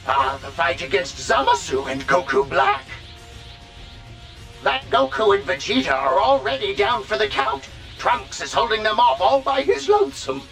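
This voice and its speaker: An actor playing an excitable, fretful old male deity in an anime video game, commenting on battles with expressive exclamations. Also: sits high, moderate pace, creaky, full character voice. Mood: grave. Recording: music behind.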